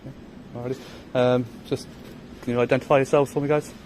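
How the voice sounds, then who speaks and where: British man, quick, assertive street challenger filming outdoors. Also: mid-range voice, flat delivery, darkish tone, slight background noise, low-quality recording. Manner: assertive, persistent questioning about rights. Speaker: member of the public filming officers. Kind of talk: confrontational street exchange. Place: outdoors on a public footpath.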